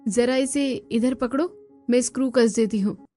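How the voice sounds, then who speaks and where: Woman acting a caring cartoon daughter-in-law, young, earnest, expressive, hard-pressed, clear music underneath. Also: fairly high pitch, unhurried, close to an everyday voice. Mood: encouraging.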